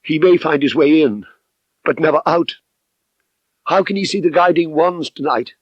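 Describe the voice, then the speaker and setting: A man's voice: fairly high pitch, moderate pace, no echo, highs cut off, pitched above the performer's own voice, natural voice. Man voicing an anguished, bitter wronged wife. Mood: vengeful, fervid. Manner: impassioned, melodramatic. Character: anguished, bitter woman, a villain's abused wife.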